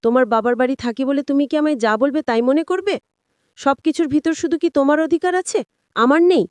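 A woman acts out a sly, defensive husband in a narrated family story. The voice is high and dry, with persuading, self-pitying talk in colloquial rural Bengali. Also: fairly quick, darkish tone, dry recording, natural voice. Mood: angry.

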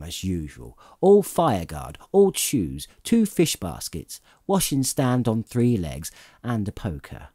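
Male storyteller for classic fiction: lowish pitch, lively intonation, theatrical delivery with drawn-out emphasis. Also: no echo, clean studio sound. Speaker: audiobook narrator.

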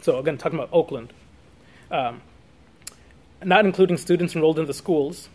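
A man with a clearly high-pitched voice delivers an academic lecture. He sounds like a lecturer in his thirties working from notes, slipping into spoken asides and halting now and then to correct himself. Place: small classroom or meeting room, indoors.

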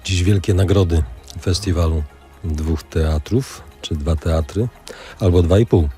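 Deep, mellow male radio host. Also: measured pace, soft background music. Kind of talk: live radio interview hosting.

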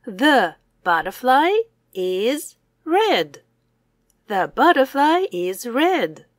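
A young woman teacher reading aloud a simple language lesson for children, saying words deliberately and separately, with exclaimed prompts. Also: fairly high pitch, big pitch swings, dry recording, studio quality. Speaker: English teacher.

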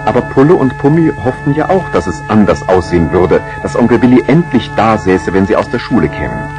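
Deep-voiced male storyteller, lively delivery. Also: a little fast, over music. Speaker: narrator. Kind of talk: scripted storytelling for a 1970s children's radio play.

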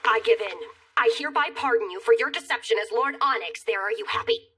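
Haughty, hot-tempered demon queen, high-voiced. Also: fast, sharp tone, breathy edge, recorded from a distance. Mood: grudging, haughty. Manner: haughty, theatrical, formal royal diction. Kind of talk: anime dub acting. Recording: dramatic music behind the voice.

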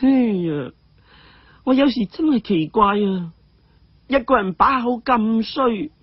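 A man's voice: extremely high pitch, animated, takes it slowly, husky, no room sound, dull audio, voice pushed up, heavily acted. A man voicing a bashful young woman in a story, hesitant and coyly scolding.

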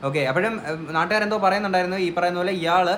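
A man's voice: high voice, fairly even intonation, very fast, fairly bright tone, a little hiss or hum. A man giving earnest, emphatic opinion commentary as a vlogger on a news case.

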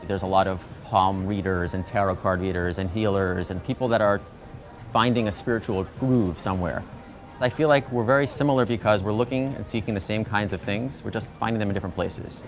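Earnest rabbi speaking over soft music. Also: male voice, fairly low pitch, a little fast. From United States, East Coast. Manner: earnest, reflective, conversational. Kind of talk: documentary interview. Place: outdoors on a shaded porch.

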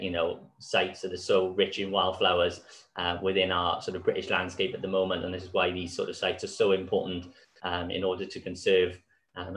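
A man, giving an online conference talk over slides in a relaxed way with many fillers, his voice a little hoarse. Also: deep voice. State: slightly hoarse. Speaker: conservation speaker. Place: small room at home. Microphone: video call. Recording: echoey room on a video call.

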